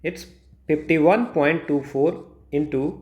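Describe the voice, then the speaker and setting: Male Indian tutor for exam walkthroughs: slow delivery, switches between Hindi and English, poses and answers questions, roomy echo. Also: a little high, steady tone, a little mellow. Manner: mixes Hindi and English, asks himself questions then answers. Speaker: physics tutor. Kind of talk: step-by-step exam problem walkthrough. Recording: echoey room.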